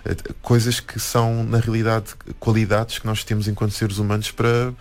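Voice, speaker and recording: Portuguese guy in a studio interview, lowish voice, lots of restarts and "não é". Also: level tone, normal speed, a little mellow. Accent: European Portuguese. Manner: hesitant, many restarts and "não é?" tags. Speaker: guest, musician and anthropologist. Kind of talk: reflective interview talk. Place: radio studio.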